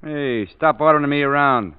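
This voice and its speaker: A man in an old-time radio drama playing an irreverent, hot-tempered crook with gruff, slangy tough-guy talk. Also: a little high, normal speed, lightly acted. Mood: surly, defiant. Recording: thin, phone-like vintage radio.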